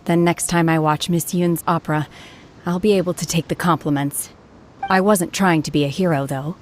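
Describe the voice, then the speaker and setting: A woman voicing a cold, low-voiced young exorcist. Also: normal speed, a little hiss or hum, natural voice. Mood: cool. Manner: quiet, flat, detached. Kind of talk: English game voice acting.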